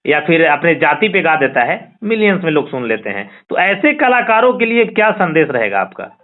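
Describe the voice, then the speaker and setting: A man's voice: fairly high pitch, very animated, normal speed. A man interviewing someone by phone, hesitant and often repeating his words. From Bihar, India. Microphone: telephone. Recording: tinny, narrow-band phone call recording.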